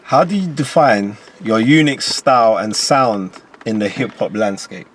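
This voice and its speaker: Man, interviewer, low voice, short replies, faint background noise. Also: normal speed. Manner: brief backchannel replies, short questions. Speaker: interviewer host.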